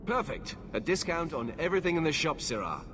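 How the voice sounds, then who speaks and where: A man's voice: on the high side, very animated, brisk, background noise. A man playing an eager, courteous alchemist shopkeeper in a fantasy game, effusive and theatrical with hesitant asides. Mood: welcoming, eager. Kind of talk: dramatic game cutscene acting.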